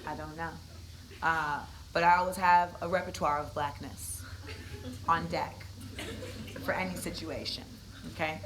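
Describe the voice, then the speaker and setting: A woman in her thirties with a deep voice, giving a comedic storytelling talk at a university event with lots of asides. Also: measured pace, long pauses, slight background noise, poor audio. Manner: animated, comedic, conversational with asides. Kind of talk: storytelling talk to a live audience.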